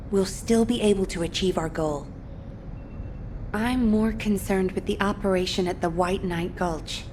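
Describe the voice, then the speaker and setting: A woman playing a composed but worried military operations commander in a video game, formal and measured, over rumbling noise. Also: natural voice. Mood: concerned, resolute. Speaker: mission commander. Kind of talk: video game cutscene acting. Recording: noisy rumbling background.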